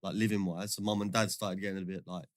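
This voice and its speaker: A young man talks in a slangy, chatty way, his voice sitting low. He laughs often as he tells his stories. The sound is dry and close, with no room echo. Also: little pitch movement, fast. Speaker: guest, former footballer. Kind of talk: casual podcast storytelling.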